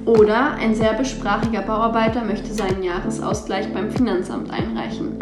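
A woman co-hosting a podcast, presenting in a clear, measured way and putting questions to guests. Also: mid-range voice, fairly even intonation. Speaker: podcast co-host. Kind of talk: scripted podcast narration and interviewing. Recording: roomy sound with music underneath.

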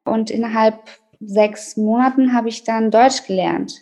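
A woman's voice: a little high, fairly even intonation, a little slow, mellow. A young woman from Colombia, a native Spanish speaker and student, telling stories casually in an interview.

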